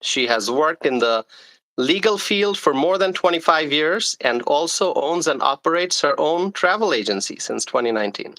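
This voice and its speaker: A man's voice: fairly high pitch, animated, normal speed, sharp tone, rough recording. A man hosting a live stream, formally reading out his guest's introduction with small stumbles.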